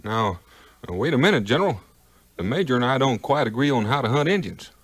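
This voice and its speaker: A man playing a brave, easygoing frontiersman, folksy and unhurried, in a 1950s audio adventure. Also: medium pitch, animated, lightly acted. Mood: wry, resigned. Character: plain-spoken, brave, easygoing frontiersman hero. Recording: 1950s record, music behind.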